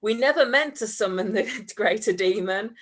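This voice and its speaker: A woman's voice: medium pitch, normal speed, close to an everyday voice. A woman voicing an exasperated male amateur performer turned bank robber in a comic story, theatrical and sarcastic. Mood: pleading.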